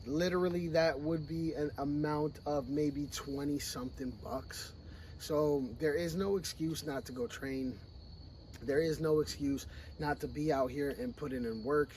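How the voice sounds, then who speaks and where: Sick guy with a scratchy voice, kinda high, talking to camera outside at the range, blunt pep talk, faint hiss behind. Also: steady tone, unhurried, darkish tone. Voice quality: hoarse. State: sick, losing his voice. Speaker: firearms training vlogger. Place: outdoor shooting range.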